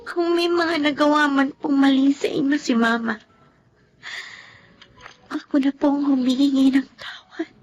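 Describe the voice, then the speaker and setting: An actress playing a gentle, respectful young woman grieving her mother in a radio drama, speaking politely and sincerely. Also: high-pitched, fairly even intonation, unhurried, long pauses, a little hiss or hum. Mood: tender, apologetic. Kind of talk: emotional Filipino radio drama acting. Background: music.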